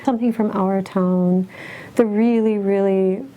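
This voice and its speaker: A woman in a documentary interview, briskly listing examples. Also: mid-range voice, fairly even intonation, narrow, tinny audio.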